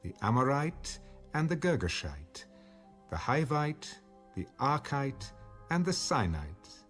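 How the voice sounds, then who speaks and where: A man reading scripture as an audiobook narrator in a measured, solemn manner. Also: mid-range voice, big pitch swings, a bit bright, faint music behind.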